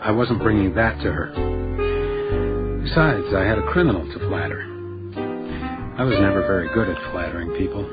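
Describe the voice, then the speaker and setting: An actor playing a dry, guarded 1920s hotel detective with a deadpan delivery in a radio drama. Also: male voice, sits low, normal speed, long pauses, highs cut off, over loud music, close to an everyday voice. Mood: wry narration. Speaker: lead character and first-person narrator.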